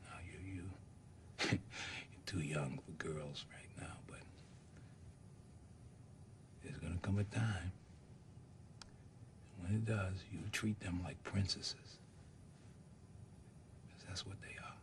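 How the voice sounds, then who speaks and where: A man's voice: sits low, fairly even intonation, a little slow, long pauses, slight background noise. An actor performing a stage monologue as a dying father who whispers tender last advice to his son. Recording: distant from the mic.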